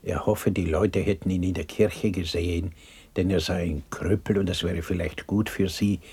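An actor playing a gentle, weary, kind-hearted father in a radio play, mild and a little hesitant, with a husky voice. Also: low voice, fairly even intonation, moderate pace. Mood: sad, reflective. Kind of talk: 1960s German radio play acting.

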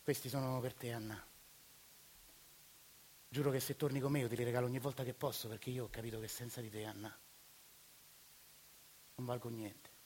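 An actor playing a humbled father speaking softly and tenderly to his wife. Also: mid-range voice, steady tone, fairly quick, long pauses, slight background noise, pitched below the performer's own voice, natural voice, poor audio. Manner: soft, reflective, tender.

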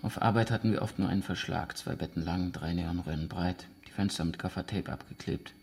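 An actor playing a wary, cocky former soldier under interrogation in a radio drama, dry, taunting and clipped. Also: male voice, sits low, steady tone, fairly quick, creaky, slight background noise. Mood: guarded, dry. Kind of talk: naturalistic radio drama dialogue.

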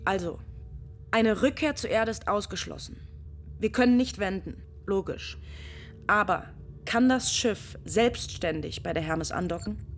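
A woman's voice: mid-range voice, expressive, measured pace, soft background music. An actress playing a female astronaut on a lonely space mission, composed and focused in a radio drama. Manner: focused and composed, sometimes shaken. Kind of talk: German radio drama acting.

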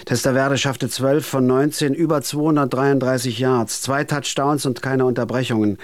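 A man's voice: mid-range voice, steady tone, normal speed, no room sound, natural voice. A man playing a wry hitman narrator. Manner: dry, understated first-person narration. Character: nervous, wry hitman narrating his story. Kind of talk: radio drama narration.

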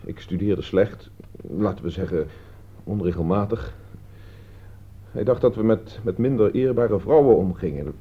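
An actor playing a defensive thirty-year-old idler under questioning, speaking evasively in a radio play. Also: male voice, lowish voice, lively intonation, unhurried, slightly rough, exaggerated voice. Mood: explaining, wry. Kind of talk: Dutch radio drama dialogue. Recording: dull, band-limited old radio recording.

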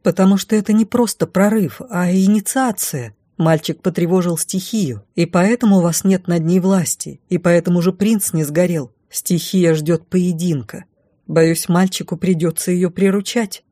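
A woman's voice: on the low side, moderate pace, dark tone, no echo, studio quality, natural voice. A woman playing a bold young lady in a fantasy audiobook, speaking urgently and insistently. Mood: grave, insistent.

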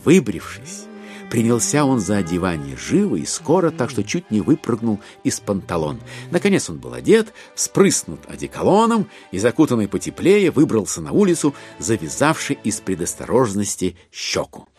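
A man's voice: on the low side, huge pitch swings, normal speed, darkish tone, soft background music. A man performing as a wry, ironic storyteller, reading literary narration in an audio drama. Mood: wry, animated.

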